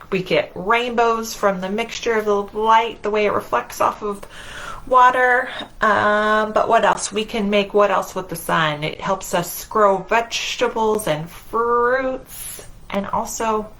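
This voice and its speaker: A woman's voice: mid-range voice, sharp tone, low-quality recording. A woman hosting a children's storytime, reading aloud in simple, singsong phrases and putting questions to young listeners. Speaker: children's library storytime host.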